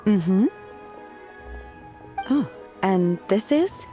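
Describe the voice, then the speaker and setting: A woman playing a calm, earnest, reserved young female disciple in a video game, with a polite, deadpan manner. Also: medium pitch, very slow. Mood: polite, composed. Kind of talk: video game character dialogue. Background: background music.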